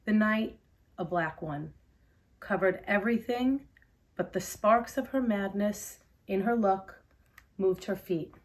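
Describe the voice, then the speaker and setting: A woman reads verse aloud quietly and earnestly. She takes the lines at an unhurried pace, letting them settle. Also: mid-range voice. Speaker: granddaughter of the poet, reading a poem. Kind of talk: slow poetry reading.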